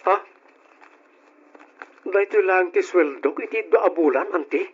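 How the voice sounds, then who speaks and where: Male actor for put-upon young nephew, Ilocano Filipino accent, radio drama, slightly high pitch, dull audio. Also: measured pace, breathy edge. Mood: hurt, polite. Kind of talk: old-style Ilocano radio drama acting.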